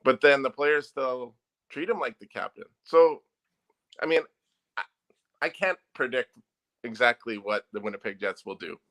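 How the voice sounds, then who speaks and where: Bright, emphatic man giving his take. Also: mid-range voice, moderate pace, pauses often. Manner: firm, emphatic opinions. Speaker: guest, newspaper sports editor. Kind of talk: opinionated sports talk.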